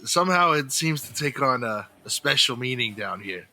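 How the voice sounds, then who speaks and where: An actor playing a two-faced mission volunteer and schemer in a comedy radio drama in an old-time style. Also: male voice, medium pitch, lively intonation, moderate pace, gravelly, bright, forceful tone, heavily acted. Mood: fawning, polite. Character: fawning mission volunteer hiding a bitter, scheming villain.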